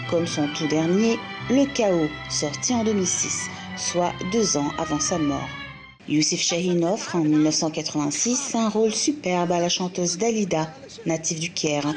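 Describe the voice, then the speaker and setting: A woman reporter reading a scripted documentary segment in a measured, formal way. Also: on the low side.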